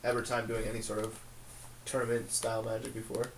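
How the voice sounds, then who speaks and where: A man's voice: mid-range voice, monotone, fast. A man, a guest among friends on a podcast, chatting casually in a roomy, noisy recording. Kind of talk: casual chat. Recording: roomy, noisy, clicks in background.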